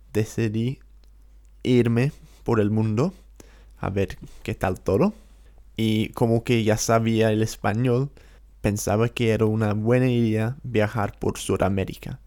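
A man's voice: mid-range voice, fairly even intonation, slow pace, mellow. A man from London with an English accent, a native English speaker chatting casually in learned Spanish, easygoing with hesitant fillers. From England, London. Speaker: co-host. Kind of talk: casual conversation.

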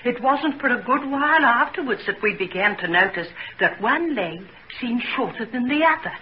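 A woman's voice: fairly high pitch, expressive, normal speed, close to an everyday voice. An actress playing an anxious, humble working-class mother, fretful and deferential, in 1940s radio drama style. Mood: humble, hopeful. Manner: deferential, fretful, uneducated grammar. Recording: muffled, noisy 1940s radio recording.

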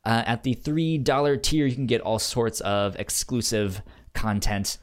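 A man's voice: medium pitch, unhurried. A man co-hosting a podcast in casual chat, stammering and often repeating words. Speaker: podcast co-host.

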